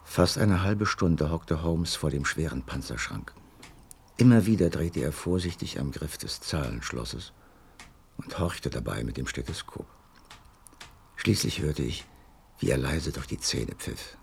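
Very deep, gravelly male storyteller. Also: lively intonation, measured pace, a little hiss or hum, full character voice. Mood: tense narrating. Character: Holmes's anxious loyal companion, narrating their nighttime burglary. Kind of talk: radio drama narration and dialogue.

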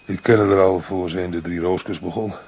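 A weary, injured man in a radio play, groggy and in pain. His low voice is extremely rough and husky. He speaks haltingly, in a subdued way. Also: fairly even intonation, darkish tone. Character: weary, injured male hospital patient under police guard. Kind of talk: radio drama acting.

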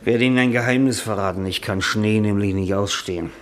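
An actor in a German radio drama playing a boozy, stubborn, faded writer, rambling and grumbling in a theatrical, tipsy way. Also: male voice, fairly low pitch, brisk, natural voice. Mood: confiding, dismissive. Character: boozy, stubborn, faded older writer, self-pitying and belligerent.

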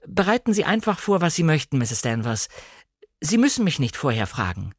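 A man's voice: on the high side, big pitch swings, normal speed, no echo, clean studio sound, voice pushed up. A man voicing a timid, flustered young lady of the house in an audiobook drama, hesitant and apologetic. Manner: hesitant, eager to please, apologetic.

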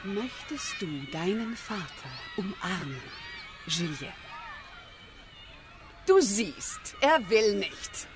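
A woman playing a cold, resolute wife leaving her husband in a radio drama, speaking in a cool, deliberate way. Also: mid-range voice, sing-song, crowd noise. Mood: cold, firm. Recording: noisy outdoor scene, heavy background noise.

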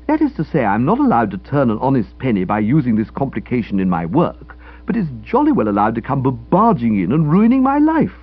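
A man's voice: medium pitch, wildly swooping pitch, brisk, hardly any pauses, breathy edge, soft background music. A man voicing a gloomy, self-pitying young upper-class English writer in a comic audiobook, grumbling theatrically. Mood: aggrieved. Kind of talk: comic audiobook character dialogue. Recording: dull lo-fi radio-style recording.

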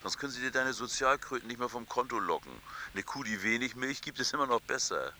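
An actor playing a pushy, carefree friend on a call in a radio drama, breezy and emphatic. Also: male voice, mid-range voice, animated, quick pace, sharp tone. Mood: breezy, insistent. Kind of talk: German radio drama acting.

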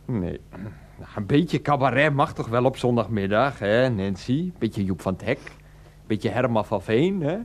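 A man's voice: medium pitch, lively intonation, normal speed, a little hiss or hum, lightly acted. An actor playing an evasive, joking man in a radio play, talking in an offhand, placating way. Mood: teasing. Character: grown man, evasive, placating joker with his partner.

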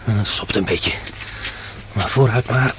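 An actor playing a grumbling, wry companion on a stealthy mission in a science fiction radio drama, talking informally. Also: male voice, fairly low pitch, a little slow, heavy background noise, close to an everyday voice. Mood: irritated.

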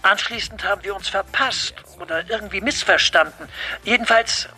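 A courteous male professor and director in a radio drama. His voice is high and very bright, with a sharp edge. He probes politely and formally over faint music. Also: moderate pace. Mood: formal, probing. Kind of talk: German radio drama dialogue.

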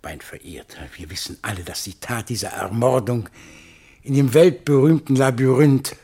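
A man's voice: mid-range voice, normal speed, warm tone, slightly rough. An actor playing a pompous, fawning man of letters in a radio play, speaking in ornate, self-important oratory. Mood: pompous, obliging. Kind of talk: theatrical radio play acting.

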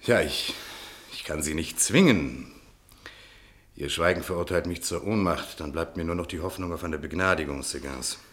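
A man's voice: low voice, moderate pace, a bit bright. A man playing an earnest, insistent defence lawyer in a radio play, speaking formally and arguing articulately. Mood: resigned, pleading. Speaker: actor in a radio drama. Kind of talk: German radio play dialogue.

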